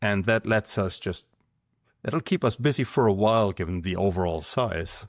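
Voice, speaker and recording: A man from Germany with a German accent, explaining things in a measured way as a podcast guest. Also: fairly low pitch, no echo, studio quality. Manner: measured, explanatory, numbers-driven. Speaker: guest, company founder. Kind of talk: podcast interview.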